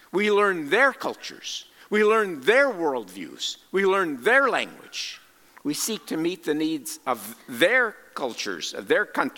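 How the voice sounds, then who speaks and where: A man preaching a sermon as a missionary, speaking deliberately and emphatically in short phrases with pauses, in a large reverberant space. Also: on the high side, vocal fry, pushed and bright. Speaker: preacher and cross-cultural missionary. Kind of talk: sermon with scripture quotations and rhetorical questions.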